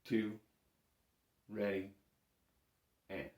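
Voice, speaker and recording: A man's voice: fairly low pitch, monotone, very slow, darkish tone. A man giving a casual spoken music lesson as an instructor, in an easygoing, reassuring manner. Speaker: bagpipe instructor. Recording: echoey room.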